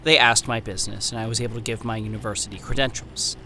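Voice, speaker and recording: Casting: male actor as wary young 1930s anthropologist, formal and precise, sharp forward tone, horror podcast letters, noisy backdrop. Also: lowish voice, steady tone. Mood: uneasy, measured. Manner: formal, precise, measured diction. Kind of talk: scripted horror audio drama, letter narration.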